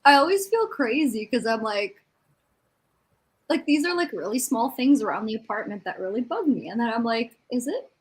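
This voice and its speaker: A woman's voice: a little high, animated, moderate pace. A woman in casual chat, griping in an exasperated, self-mocking way. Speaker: participant in a group reading livestream.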